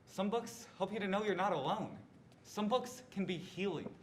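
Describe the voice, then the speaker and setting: A man's voice: high-pitched, moderate pace, natural voice. A young man, a student narrator reading a scripted readers theatre introduction. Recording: faint background noise.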